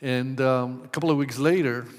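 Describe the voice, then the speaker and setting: A man's voice: mid-range voice, steady tone. Ecuador-raised man presenting in a hall. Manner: measured, with frequent ums and uhs. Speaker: conference presenter. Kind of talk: conference talk. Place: conference hall. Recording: long hall reverb.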